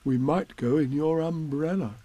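A man voicing a slow, modest, simple-minded bear from a storybook, speaking in a ponderous way. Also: a little high, warm tone, no room sound, lightly acted. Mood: musing.

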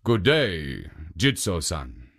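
A gruff, suspicious ogre, as a narrator puts him on growls out his words a little lower and louder than the reader's own voice. He speaks slowly, a menacing storybook monster sniffing around. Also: very animated, exaggerated voice. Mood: gruff suspicion. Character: wicked people-eating male ogre, suspicious.

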